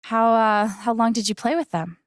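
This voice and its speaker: A woman's voice: a little high, fairly even intonation, moderate pace, dry recording, clean studio sound, lightly acted. An actress playing a candid, wry young woman in a video game, speaking in a plain, conversational way. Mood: teasing, friendly. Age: young adult. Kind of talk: naturalistic video game acting.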